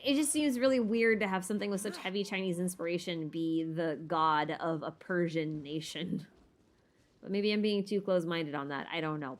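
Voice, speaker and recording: A woman with a mid-pitched voice chats casually as a livestream host. She thinks out loud and states opinions emphatically in informal wording. Music plays behind her. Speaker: livestream host answering viewer questions. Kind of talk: casual gaming Q&A chat, thinking aloud. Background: background music.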